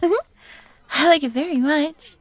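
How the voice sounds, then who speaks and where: A woman voicing a young fox girl, timid but eager and grateful, soft and breathy, in a scripted audio roleplay. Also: high-pitched, slow pace, dry recording. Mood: grateful, earnest.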